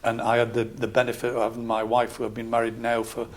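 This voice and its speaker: A man in his sixties with a Geordie accent, a local councillor calmly answering interview questions in a measured, matter-of-fact way. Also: medium pitch. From Scotland, raised in Newcastle area. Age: 60s.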